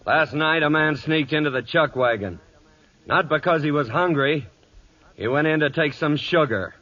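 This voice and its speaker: An actor playing a hard, commanding cattle boss with an American accent and a clipped, stern manner in a 1940s radio western. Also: male voice, fairly high pitch, normal speed, sharp tone. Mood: stern anger. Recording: dull old 1940s radio broadcast.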